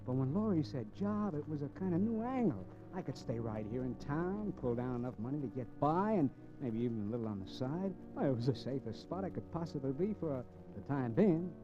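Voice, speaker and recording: A man's voice: mid-range voice, very animated, fairly quick, few pauses, natural voice. An actor playing a cocky, restless drifter crook in a 1950s radio crime drama, with slangy, wisecracking tough-guy talk. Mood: reflective narration. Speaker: radio drama actor, lead and first-person narrator. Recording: muffled vintage 1950s radio recording, faint music.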